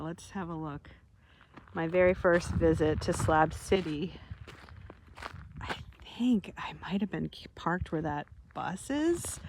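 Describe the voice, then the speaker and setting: A woman's voice: fairly low pitch, noisy background. A woman in her fifties, vlogging while walking outdoors and reminiscing in a rambling way, often correcting herself. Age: 50s. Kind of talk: casual walking vlog. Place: outdoors in the desert.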